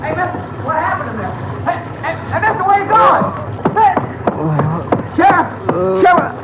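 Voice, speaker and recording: Excitable hotel clerk, very high voice, vintage radio drama, heavy recording noise. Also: male voice, normal speed. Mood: frantic. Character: excitable, anxious male hotel desk clerk. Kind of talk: 1940s radio acting. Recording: very noisy old radio recording.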